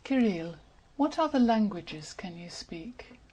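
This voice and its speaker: A woman examiner in a speaking exam, asking questions and reading out task instructions in a measured way. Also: mid-range voice, animated, dark tone, breathy edge, poor audio. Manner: measured, reads instructions evenly.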